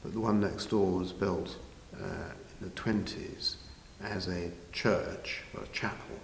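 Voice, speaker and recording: Deep-voiced older Englishman in an echoey hall. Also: fairly even intonation, a little slow, creaky. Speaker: interviewee, village pantomime organiser. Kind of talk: informal interview answers. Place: large village hall, indoors. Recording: very echoey hall, faint noise.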